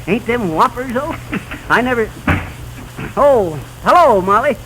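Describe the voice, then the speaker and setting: Folksy braggart husband, lively high pitch, vintage radio comedy, noisy old recording. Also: male voice, slow, exaggerated voice. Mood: blustering. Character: boastful, folksy tall-tale husband showing off. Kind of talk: 1930s radio comedy acting.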